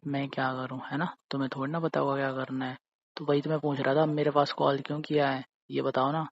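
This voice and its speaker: Man playing sulky, jealous young boyfriend, flat-toned. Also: medium pitch, fairly quick, dull audio, a little hiss or hum, close to an everyday voice. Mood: bitter, accusing. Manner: sulky, repetitive, ends phrases with tag questions. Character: hurt, jealous, accusing young boyfriend on a call. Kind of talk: acted phone-call drama in casual conversational speech.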